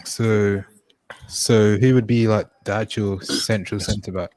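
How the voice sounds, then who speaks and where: A man's voice: on the low side, steady tone, slow, darkish tone, no room sound, poor audio. A young man, podcast co-host, steering a casual football chat and asking the others questions. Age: young adult. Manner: steers the discussion, asks questions.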